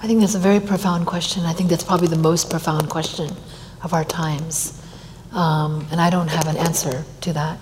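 A woman answering on a discussion panel, speaking reflectively and often pausing with "you know". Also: deep voice, flat delivery, darkish tone. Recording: long hall reverb, faint noise.

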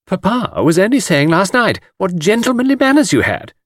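Coquettish, easily impressed young woman, acted by a male narrator, high swooping pitch, exclamatory storybook delivery. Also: wildly swooping pitch, moderate pace, dry recording, clean studio sound, full character voice. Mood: distressed, earnest. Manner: coy, breathless, exclamatory. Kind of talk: audiobook character voice.

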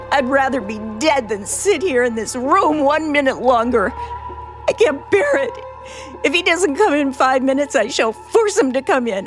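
A frightened middle-aged woman in an old radio thriller, high-voiced and slow. She stammers and pleads breathlessly, fraying with dread, with faint music in the recording. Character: terrified, frantic middle-aged woman held captive, writing her diary. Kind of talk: old-time radio suspense drama acting.

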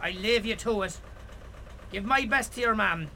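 A man's voice: sits high, moderate pace, gravelly, bright, forceful tone, a little hiss or hum, heavily acted. An actor playing an easygoing, helpful countryman with an Irish accent in a naturalistic radio drama. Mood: friendly, matter-of-fact.

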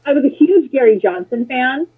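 A woman's voice: high voice, expressive, normal speed, slightly rough. An American woman chatting casually and telling opinionated stories, blunt and sarcastic, swearing freely, on a muffled, lo-fi connection. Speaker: interview guest, former political candidate. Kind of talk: casual conversation, opinionated anecdotes. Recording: muffled lo-fi phone-like sound.

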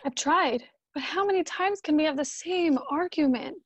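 A troubled, weary young wife and daughter , acted by a woman in a radio drama. Her voice is high and hesitant, on a dull, lo-fi recording with no room echo. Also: normal speed, lightly acted. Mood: frustrated, troubled. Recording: dull, lo-fi sound.

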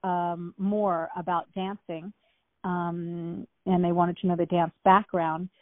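A woman's voice: lowish voice, little pitch movement, slow pace, no echo. A woman talking as an actress guest in a casual interview, chatty and rambling with many fillers and restarts. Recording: tinny phone-like line.

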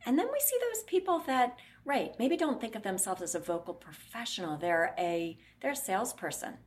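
A woman's voice: fairly high pitch, very animated, normal speed. A woman voice clinician answering interview questions bluntly, explaining at length and acting out what her patients say.

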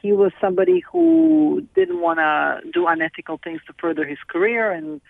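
A middle-aged woman from Serbia, speaking as a guest over the phone in an earnest, halting way with many restarts. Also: on the low side, very animated, creaky, no room sound. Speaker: film director and guest. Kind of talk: phone interview. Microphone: telephone. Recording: tinny dry phone line.

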